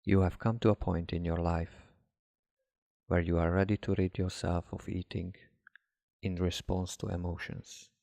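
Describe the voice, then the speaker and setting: A man reading a guided hypnosis relaxation script as a hypnotherapist, in a measured, instructive way with deliberate pauses. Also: low voice, flat delivery, vocal fry, dark tone, no echo. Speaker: hypnotherapist guiding a session.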